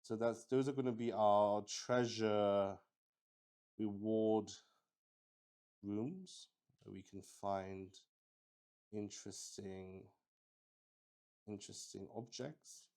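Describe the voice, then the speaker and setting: A man with a lowish, mellow voice improvises as game master of a solo tabletop roleplay. He takes his time, pausing often and working things out aloud as he goes. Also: steady tone, clear, resonant tone. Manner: hesitant, pausing, thinking aloud.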